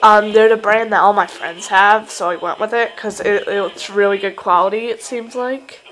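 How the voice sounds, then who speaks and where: A woman's voice: mid-range voice, fairly even intonation, normal speed, fairly bright tone, poor audio. A teenage girl with a New York accent, chatting casually in her bedroom at night and filling her talk with fillers while she films on a handheld camera. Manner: chatty, rambling, lots of fillers. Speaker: model horse hobbyist vlogger. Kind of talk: casual show-and-tell vlog.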